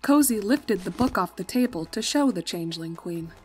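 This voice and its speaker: A woman's voice: mid-range voice, lively intonation, normal speed, darkish tone. A woman reading scripted storybook-style narration as the narrator of a fan fiction tale.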